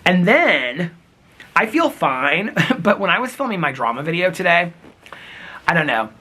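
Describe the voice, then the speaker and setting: A man vlogging outdoors in wind and snow, talking casually in a rambling, giggly way with a husky, crackly voice. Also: sits high, unhurried. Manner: rambling, giggly, animated storytelling. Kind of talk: casual chatty vlog. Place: outdoors in windy snowy weather.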